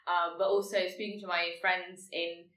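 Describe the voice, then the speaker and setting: A woman's voice: fairly low pitch, level tone, normal speed, a bit bright. A teenage girl from India whose first language is Tamil, speaking thoughtfully and hesitantly as a guest. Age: teens. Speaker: podcast guest. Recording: echoey, far from mic.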